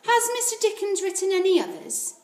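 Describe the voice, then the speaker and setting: A woman's voice: extremely high pitch, wildly swooping pitch, brisk, a little mellow, roomy sound, voice pushed up, exaggerated voice. A woman voicing an eager, polite four-year-old bookworm girl in a storybook reading. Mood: enthusiastic. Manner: earnest and polite. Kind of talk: storybook character voice.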